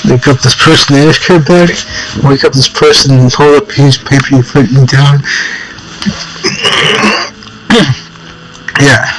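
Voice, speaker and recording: A man reading an interactive text game aloud. He adds amused asides, often clears his throat, and stumbles and rereads phrases. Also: medium pitch, background noise.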